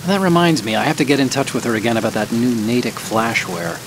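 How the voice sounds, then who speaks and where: A male tech expert from a video game, played by an actor, gives a mission briefing in a medium-pitched voice. He sounds earnest and sure of himself, explaining articulately with a light teasing edge. Loud background noise surrounds the speech. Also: fast talker, close to an everyday voice. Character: earnest, confident male tech-expert hacker guiding an agent.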